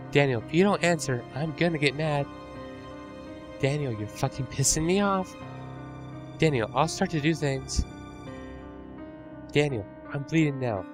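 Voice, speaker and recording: A man's voice: on the high side, pitched above the performer's own voice. A man voicing an obsessive, unhinged young woman stalker who swings between rage, pleading and sugary menace. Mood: furious pleading. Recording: music playing underneath.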